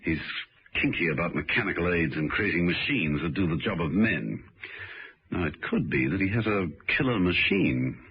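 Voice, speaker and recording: An actor playing a suave, unruffled British gentleman sleuth in a radio drama, urbane and dryly understated, on an old radio recording. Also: low-pitched, expressive, moderate pace, slightly rough, lo-fi sound, heavily acted. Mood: brisk, confiding.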